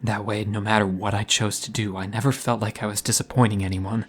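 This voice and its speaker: A man playing a wounded, self-doubting young man in an audio drama, speaking hesitantly and confessionally in a breathy, husky voice. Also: fairly low pitch, flat delivery, brisk, fairly bright tone, soft background music. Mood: vulnerable, hopeful.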